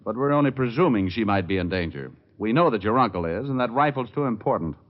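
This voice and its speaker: An actor with an American accent playing a tough, level-headed private detective in a radio drama, dry and wisecracking. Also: male voice, fairly low pitch, lively intonation, fast talker, natural voice. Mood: firm, explanatory. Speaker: lead actor. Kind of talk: old-time radio drama acting. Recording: muffled old radio recording.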